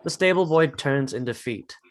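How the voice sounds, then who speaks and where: A man with a medium-pitched voice narrating stage directions in a dramatic script reading. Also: expressive, moderate pace.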